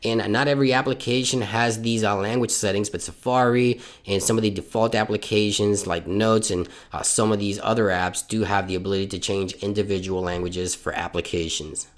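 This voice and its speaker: A man's voice: fairly low pitch, fairly even intonation, brisk, hardly any pauses. Upbeat man from Puerto Rico, tech host. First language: Spanish. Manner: upbeat, instructional, step-by-step. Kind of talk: tech tips walkthrough.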